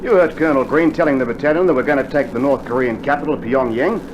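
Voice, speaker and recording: A man's voice: mid-range voice, fast talker, highs cut off, natural voice. An actor playing an earnest, irritable Australian infantryman itching for battle, with emphatic retorts, in a scripted mid-century radio drama. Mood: earnest, insistent. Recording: noisy old vinyl radio drama recording.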